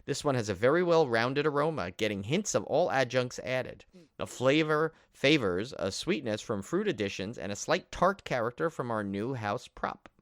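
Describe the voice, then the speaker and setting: A man's voice: medium pitch, expressive, moderate pace, no room sound, studio quality. A man co-hosting a horror film podcast in casual chat, rambling and jokey as he talks. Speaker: podcast co-host.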